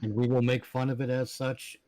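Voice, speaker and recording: A man's voice: mid-range voice, little pitch movement, brisk, a little mellow, no room sound, low-quality recording. A man chatting casually as a panel member, with a dry, joking manner. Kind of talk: casual chat.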